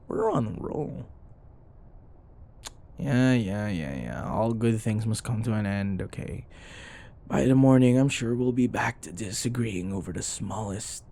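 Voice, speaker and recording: A man acting a teasing, flustered young office rival in an intimate ASMR boyfriend roleplay, halting and sometimes dropping into breathy murmurs and sighs. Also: medium pitch, dark tone, slight background noise. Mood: playful, softened.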